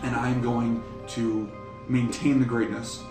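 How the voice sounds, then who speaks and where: A man runs a tabletop game in a casual, profane style, improvising as he goes. His mid-pitched voice sits back from the microphone in an echoing room, with music underneath. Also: flat delivery, takes it slowly. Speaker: game master. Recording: roomy, distant mics, music underneath.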